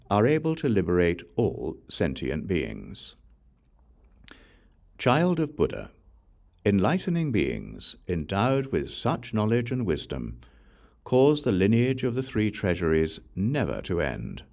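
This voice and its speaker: A man reading scripture aloud as an audiobook narrator, solemn and formal, in measured phrases that fall away at the ends. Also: low voice, wildly swooping pitch, gravelly, a little mellow. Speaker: audiobook reader.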